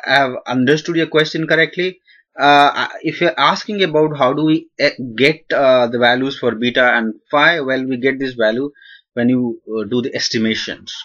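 A man's voice: medium pitch, a bit bright. Young Indian male lecturer, mid-range voice, hesitant and filler-heavy, muffled home-recorded audio. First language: not English. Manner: hesitant, many "uh" fillers and restarts. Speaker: analytics instructor. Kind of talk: recorded Q&A lecture over slides.

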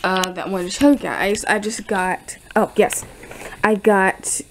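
A young woman with an American accent chatting casually to the camera in an informal, halting, rambling way. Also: mid-range voice, steady tone. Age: teens to young adult. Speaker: vlogger. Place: indoors, home room. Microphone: camera at arm's length. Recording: noisy handheld camera recording with room ambience.

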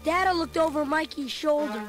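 A chubby, food-loving boy, played by a woman narrates in a very high voice, chatty and full of comic asides. The telling is scripted storybook narration. Music runs underneath throughout. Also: normal speed, lightly acted.

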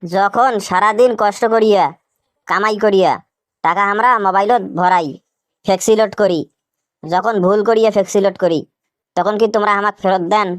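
A woman's voice: medium pitch, normal speed, pauses often, clean tone, no room sound, natural voice. A woman with a Rangpur Bangladeshi accent voicing a sly, argumentative shopkeeper in a comic cartoon, talking like a mock salesman. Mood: scolding. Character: sly, vengeful shopkeeper with absurd offers.

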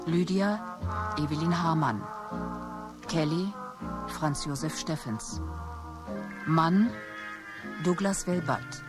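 A woman announcing the credits of a radio play in a measured, formal way. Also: sits low. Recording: loud music underneath.

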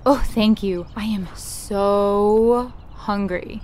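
A woman's voice: medium pitch, very slow, a little mellow, a little hiss or hum. A woman playing a friendly, eager young teacher in an amateur audio drama.

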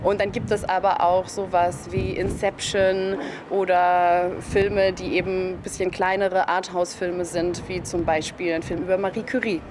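A woman's voice: on the low side, little pitch movement, normal speed. Thirties woman, casual outdoor interview. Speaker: open-air cinema organiser interviewee. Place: outdoor courtyard. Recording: clear outdoor street noise.

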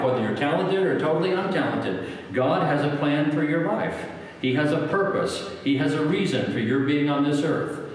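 An older American man preaching a sermon as a pastor, repeating phrases for emphasis with deliberate pauses. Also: mid-range voice. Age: 60s or older. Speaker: guest preacher, pastor and former military chaplain. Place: church sanctuary, at a wooden lectern. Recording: very echoey church hall, long reverb.

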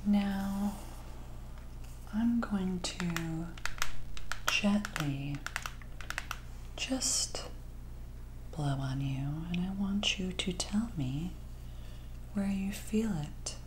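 A woman's voice: lowish voice, expressive, a little hiss or hum, low-quality recording. A woman in her thirties playing a sinister, teasing doctor in a whispered, coaxing ASMR roleplay, close to the mic. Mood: softly coaxing. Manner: slow, hushed, coaxing. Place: dim living room at night.

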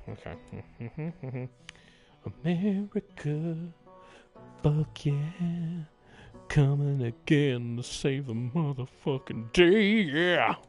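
A man giving casual live gameplay commentary, musing aloud in a jokey, drawn-out way. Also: on the high side, gravelly. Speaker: game streamer.

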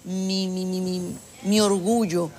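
A woman's voice: lowish voice, flat delivery, takes it slowly, a little mellow. A middle-aged woman, a fashion designer guest in a TV studio interview, talking earnestly and often repeating and restarting her phrases. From Dominican Republic. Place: TV studio set.

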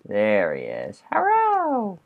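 A voice doing casual commentary while playing a video game, chatty and excitable, talking both to itself and to the viewers. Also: medium pitch, takes it slowly, gravelly, narrow, tinny audio. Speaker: gameplay commentator. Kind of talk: casual let's play commentary.

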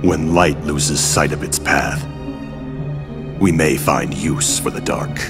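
A man voicing a cold, commanding dark schemer in a video game, speaking in a grave, measured, ominous way. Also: rumbling low, gravelly, loud music behind the voice, full character voice. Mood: grave, calculating. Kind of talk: video game cutscene acting.

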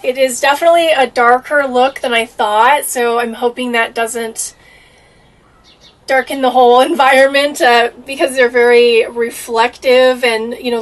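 A young woman chatting excitedly through a DIY project inside an RV, full of fillers, recorded on a camera mic across the table. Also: a little high, recorded from a distance. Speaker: RV DIY vlogger. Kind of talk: casual DIY narration.

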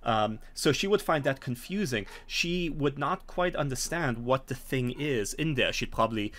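A middle-aged man runs a tabletop game as its game master. His voice sits at a medium pitch, and he explains scenes in halting, hesitant phrases. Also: animated, a bit bright. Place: indoor home study, video call.

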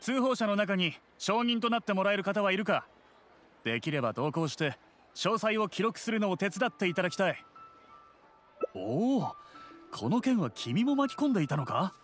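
Man acting stern, animated young guard. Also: on the high side, natural voice. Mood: businesslike. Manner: official, firm. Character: stern, dutiful young male city guard. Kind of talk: Japanese game voice acting.

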